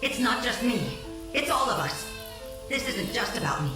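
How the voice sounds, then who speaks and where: A woman's voice: on the high side, sing-song, normal speed. An actress playing a desperate young wife who frantically begs her husband not to leave, in an audio drama. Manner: pleading, breathless, frantic. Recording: echoey, distant, with music underneath.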